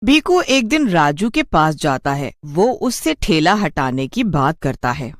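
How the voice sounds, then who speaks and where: A narrator reading a scripted cartoon story in an expressive storytelling manner. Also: mid-range voice, unhurried, dry recording, clean studio sound.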